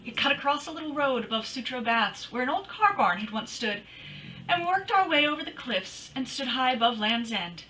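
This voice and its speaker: Female radio-drama detective woman acting: witty, teasing, very lively high voice, recorded at a distance. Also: brisk. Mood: wry, animated. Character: witty, feisty woman private detective narrating her case.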